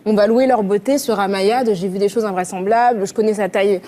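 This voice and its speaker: A woman with a Parisian accent, speaking as a guest author on a TV panel, quick and animated with many restarts. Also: medium pitch, crowd noise. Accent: Parisian French. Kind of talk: TV panel discussion.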